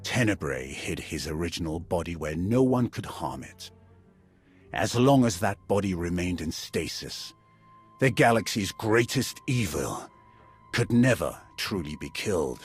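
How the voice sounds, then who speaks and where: An actor playing a weary old Jedi veteran, solemn and weighty in a dramatic video game cutscene. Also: male voice, low-pitched, big pitch swings, unhurried, husky, heavily acted. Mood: grave, solemn. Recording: background music under the voice.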